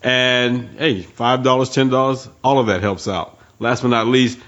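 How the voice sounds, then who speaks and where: A man's voice: mid-range voice, steady tone, unhurried, a bit bright. A man from Texas co-hosting a podcast, delivering casual plugs with informal, slangy talk. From Texas, United States. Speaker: podcast co-host. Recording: slightly muffled, dull podcast audio.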